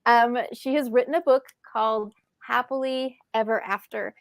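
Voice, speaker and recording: A woman's voice: on the high side, fairly even intonation, normal speed, no room sound. A woman hosting a podcast, welcoming her guest in an upbeat way. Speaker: podcast host. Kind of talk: podcast interview.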